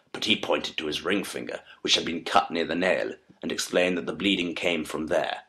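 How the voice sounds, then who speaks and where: An actor playing a keen, analytical detective in a dramatised audiobook, speaking articulately and emphatically in formal period diction. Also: male voice, low-pitched, fairly bright tone. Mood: measured, explanatory.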